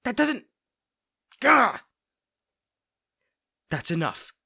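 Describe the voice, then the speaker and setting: A man playing a caring, teasing, protective best friend in a soft-spoken, conversational roleplay audio drama. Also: very high voice, very animated, extremely slow, vocal fry, husky, no room sound, clean studio sound, heavily acted. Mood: protective, frustrated. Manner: fussing, tender, conversational.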